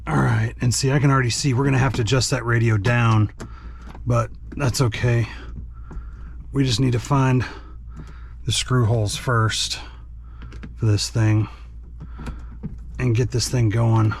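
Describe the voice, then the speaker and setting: Man, medium pitch, casual how-to narration, thinking aloud, plainspoken, faint background noise. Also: little pitch movement, unhurried, gravelly, pauses often. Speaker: host and truck driver demonstrating an installation. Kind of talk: casual hands-on tutorial narration.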